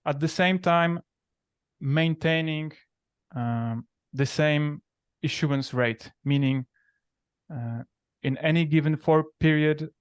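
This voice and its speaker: A man from Poland with a Polish accent gives a technical update on a call. His voice is clean and smooth, with measured phrases broken by hesitations. The sound is close and dry. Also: medium pitch, no echo. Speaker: software engineer. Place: video call.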